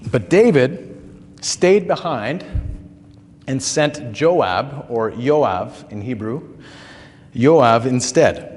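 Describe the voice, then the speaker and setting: Male pastor, mid-pitched, slightly hoarse, conversational storytelling sermon, long church reverb. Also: animated, extremely slow, pauses often, darkish tone. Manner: conversational, folksy storytelling with filler words. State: recovering throat, slightly hoarse.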